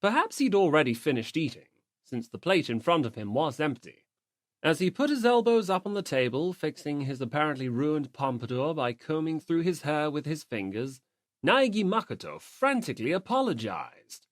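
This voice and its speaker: A man reading a story aloud as an audiobook narrator, in expressive scripted narration. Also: a little high, normal speed, no echo.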